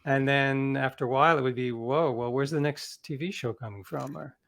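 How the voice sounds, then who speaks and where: A man's voice: medium pitch, fairly even intonation, moderate pace, vocal fry, fairly bright tone. A middle-aged man speaking as an interview guest, telling anecdotes conversationally with self-deprecating asides. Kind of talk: casual anecdotal storytelling.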